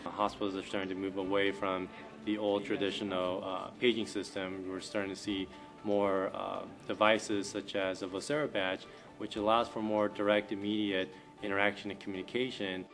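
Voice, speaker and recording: A man's voice: fairly low pitch, flat delivery, normal speed, fairly bright tone. A man explaining things as an interviewee in a testimonial video. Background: faint music.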